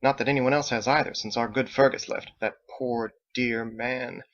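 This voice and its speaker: A man's voice: mid-range voice, steady tone, normal speed, a bit bright, highs cut off, lightly acted. A man voicing a gushing, blunt woman of seventy, chatty and sing-song as if talking to a child. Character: gushing, blunt seventy-year-old mother, hearty and chatty.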